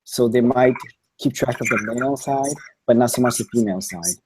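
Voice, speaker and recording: A man speaking as a guest on a panel, hesitant with many pauses and ums. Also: medium pitch, fairly even intonation, background noise. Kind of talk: casual panel discussion.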